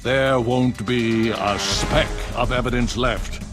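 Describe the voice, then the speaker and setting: An actor voicing a cold, scheming male government official, with a condescending, smooth delivery. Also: mid-range voice, steady tone, takes it slowly, music in the background, natural voice. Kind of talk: video game cutscene.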